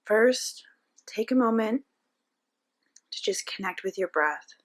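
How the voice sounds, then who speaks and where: A woman narrating a guided meditation as a teacher, giving gentle step-by-step instructions with frequent pauses. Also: a little high, animated.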